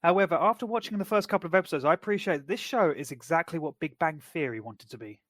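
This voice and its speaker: A man with a somewhat higher voice co-hosting a casual podcast. He explains and summarizes in a measured, steady way. The sound is dry and close to the mic. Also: no room sound. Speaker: podcast co-host. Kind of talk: casual chat.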